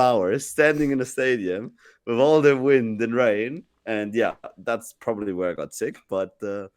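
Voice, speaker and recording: A man who is sick and sounds deeper than usual, telling a rambling story on a casual podcast with many restarts. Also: no echo, studio quality. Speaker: podcast co-host.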